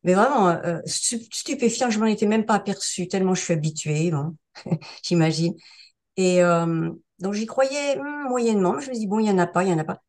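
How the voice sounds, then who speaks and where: A woman's voice: mid-range voice, normal speed, a little mellow. A woman around seventy, from France, telling her story as an interview guest in a casual, hesitant way, with many fillers and restarts. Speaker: interview guest sharing a testimonial. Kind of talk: casual spoken testimonial.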